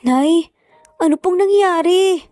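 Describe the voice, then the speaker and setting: A woman's voice: extremely high pitch, slow, darkish tone, no echo, faint music behind, pitched above the performer's own voice. A woman voicing a polite, earnest young boy who pleads respectfully in a narrated family drama. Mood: worried pleading. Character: polite, pleading young boys, worried for their mother.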